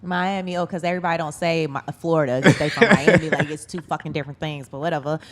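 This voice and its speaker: A woman's voice: low-pitched, quick pace, crowd noise. A woman hosting a radio interview in casual, flirty banter, playful and brash, with plenty of slang and swearing. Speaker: radio show host interviewing an artist.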